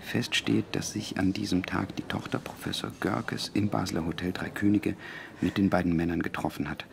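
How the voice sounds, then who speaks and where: Brief: a journalist, very deep gravelly voice, hushed reading. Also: male voice, fairly even intonation, moderate pace, a little hiss or hum. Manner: low, muttered, reads articles aloud. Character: journalist Johannes Schwarz, dry and worldly. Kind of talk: radio drama acting.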